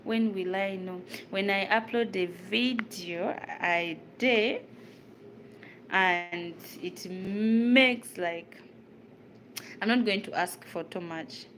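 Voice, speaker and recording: A young woman with a Ugandan accent talks casually to her viewers, answering questions as she prepares food. Her voice sits at a middle pitch. The home recording carries clear background noise, with crinkling and clicks around her. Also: takes it slowly, a bit bright. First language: Luganda. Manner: chatty, answers viewer questions while cooking. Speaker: vlogger. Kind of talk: casual chat while cooking.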